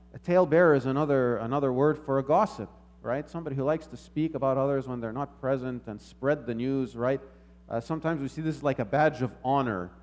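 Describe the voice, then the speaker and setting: Higher-pitched man preaching a sermon. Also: darkish tone. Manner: instructive, with repeated phrases and check-in questions. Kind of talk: sermon with scripture readings and stories.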